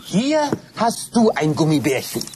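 A man's voice: fairly high pitch, very animated, a little slow. A flustered, stammering puppet fellow fumbles his words in a children's puppet show audio play. The recording has an echoing room sound, with a crowd of children audible behind him. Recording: echoey studio.

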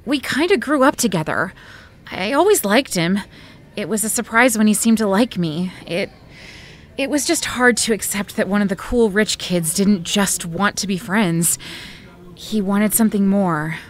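A woman's voice: mid-range voice, animated, moderate pace, close to an everyday voice. An actress playing a heartbroken, self-pitying woman with a sardonic, casual way of talking, in a naturalistic audio drama. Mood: reflective, hurt.

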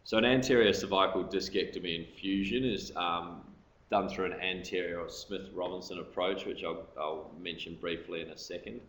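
Male registrar, very low voice, halting lecture delivery, roomy recording. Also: fairly even intonation, fairly bright tone. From Australia. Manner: hesitant, many ums and uhs, trailing off at phrase ends. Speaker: orthopaedic registrar presenting. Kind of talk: recorded medical lecture over slides. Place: hospital lecture room. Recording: echoey room, faint background hiss.

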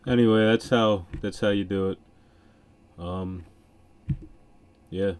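A man hosting a casual software tutorial in an informal, offhand way. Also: fairly low pitch, fairly even intonation, takes it slowly, smooth. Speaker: music software tutorial host. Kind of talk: casual screen-recording tutorial.

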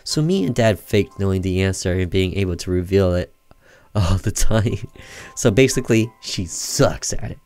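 A man's voice: sits low, animated, normal speed, a little mellow. A man doing casual gameplay commentary and reading on-screen story text aloud. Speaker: gamer doing a let's play, reading game text aloud.

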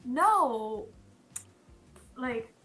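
A woman's voice: high-pitched, very animated, drawn-out words, pushed and bright, soft background music, poor audio. A teenage woman from Brazil with a Brazilian Portuguese accent, speaking English as a second language in a casual video-call chat, hesitant and giggly, with many fillers. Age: teens. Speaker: guest.

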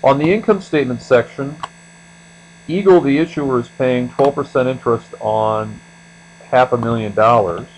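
A man giving an explanatory screencast lecture as an instructor, speaking deliberately and letting the ends of phrases trail off. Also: mid-range voice, low-quality recording. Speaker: accounting instructor. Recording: steady mains hum behind voice.

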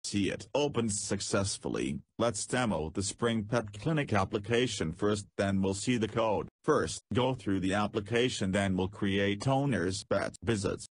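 Tutorial narrator: man, low husky voice, terse step-by-step delivery, dry close recording. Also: lively intonation, unhurried, few pauses, no room sound. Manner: terse, step-by-step instructions with non-native phrasing. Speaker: programming tutor.

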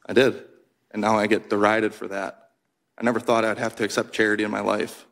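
A man in his thirties from Wisconsin testifying at a hearing desk microphone, giving terse, quiet, measured answers. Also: lowish voice, level tone, creaky. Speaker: whistleblower witness. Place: congressional hearing room.

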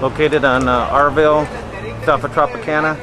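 A man's voice: a little high, flat delivery, moderate pace, crowd noise. A man filming and interviewing, speaking in an easygoing, filler-heavy way from behind a camera mic. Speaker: videographer and interviewer.

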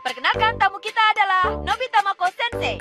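A woman voicing a clumsy, excitable cartoon schoolboy who is boastful, whiny and easily flustered. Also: very high-pitched, fast talker. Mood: showy, boastful. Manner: whiny, exclamatory. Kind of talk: animated cartoon dub acting. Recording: music behind the voice.

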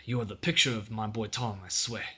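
Man playing a kindly, homesick soldier, husky. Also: fairly low pitch, steady tone, fairly quick, pushed and bright. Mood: concerned, resigned. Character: sad, homesick British soldier, kindly and concerned. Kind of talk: children's storybook character voice.

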